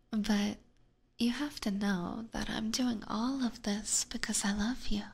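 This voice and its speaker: A woman's voice: medium pitch, steady tone, slow, exaggerated voice. A woman playing an obsessive, possessive vampire catgirl in an ASMR roleplay, whispering closely and breathily with doting, menacing sweetness. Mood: adoring, possessive. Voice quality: breathy, mostly unvoiced whisper. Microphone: close binaural ear microphone.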